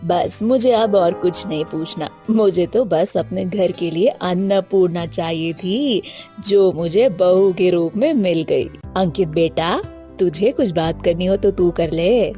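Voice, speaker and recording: A woman's voice: lowish voice, takes it slowly, warm tone, faint music behind. A woman playing a polite, approving middle-aged prospective mother-in-law in a story cartoon, courteous and matter-of-fact. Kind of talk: Hindi moral story cartoon dialogue.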